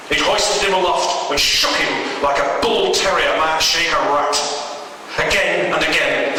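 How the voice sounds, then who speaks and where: A man reading a horror story aloud as a storyteller, in a theatrical, emphatic, drawn-out delivery, recorded in a very echoey hall from a distance. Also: fairly high pitch, bright, forceful tone. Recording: very echoey hall, distant mic, faint noise.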